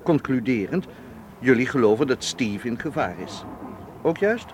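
An actor in a radio play playing a calm, methodical man who offers himself as a bodyguard, speaking in a measured, logical way. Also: medium pitch, a little hiss or hum, close to an everyday voice. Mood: assertive, reasoning. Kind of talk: radio drama acting.

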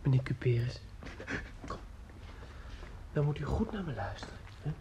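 An actor in a radio drama playing a caring but sometimes gruff man who speaks in short, plain, repeated phrases. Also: mid-range voice, expressive, moderate pace, background noise, lightly acted. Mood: soothing. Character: caring man, gruff when hurried, soothing a drunk old man.

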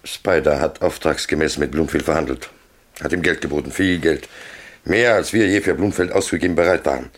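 A man's voice: extremely low pitch, brisk. An actor playing a cool, laconic crime syndicate middleman in a German radio drama, terse and businesslike. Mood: cold, matter-of-fact.

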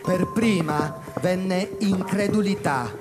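A man's voice: high-pitched, slow pace. A man narrating a scripted piece in a theatrical storytelling style. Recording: loud music behind the voice.

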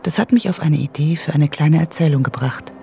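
An actress playing an intense, resolute woman writer in a radio drama, reading out her letter in a deliberate half-whisper. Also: sits low, gravelly, mellow. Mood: confiding.

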